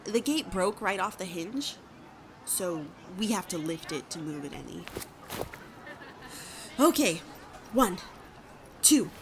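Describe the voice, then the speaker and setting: A woman's voice: on the low side, expressive, takes it slowly, pauses often, slight background noise. A woman playing a plain-spoken, self-deprecating village farmer in an audio drama. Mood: wry, friendly. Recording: clear farmyard ambience with livestock.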